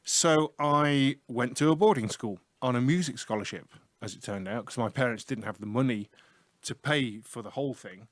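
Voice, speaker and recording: A middle-aged man with a broad Yorkshire accent talks in an easygoing, joking way, like a teacher spinning yarns. His voice sits at an everyday pitch. The sound is close and dry, recorded in a small room. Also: moderate pace, dry recording. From north of England. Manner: chatty, humorous storyteller. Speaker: IT teacher and show host. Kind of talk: casual radio chat.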